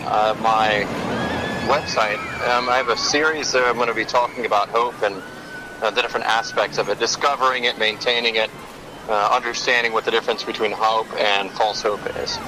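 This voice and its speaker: A man's voice: on the low side, steady tone, fairly quick. A man chatting casually on a phone call, in an easygoing conversational style. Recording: noisy outdoor phone call.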